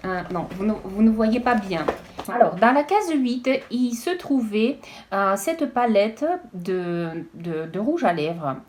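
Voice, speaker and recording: A woman's voice: mid-range voice, very animated, darkish tone, slight background noise. Hesitant woman unboxing beauty products. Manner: hesitant, halting, full of restarts. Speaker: beauty vlogger. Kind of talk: casual unboxing chat.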